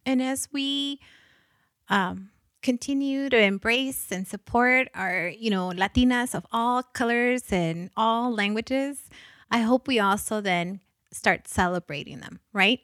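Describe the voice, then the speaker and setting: A woman's voice: on the high side, slow, fairly bright tone, no room sound, studio quality. Earnest woman with a faint Guatemalan accent. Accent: slight Guatemalan Spanish. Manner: earnest, reflective, mixes in Spanish phrases. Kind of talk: personal monologue.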